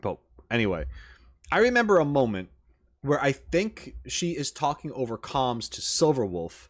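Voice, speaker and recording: A man's voice: mid-range voice, big pitch swings, moderate pace, dry recording, clean studio sound. A man casually reacting to game trailers, rambling with lots of fillers, swearing now and then and getting enthusiastic. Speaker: reaction video creator.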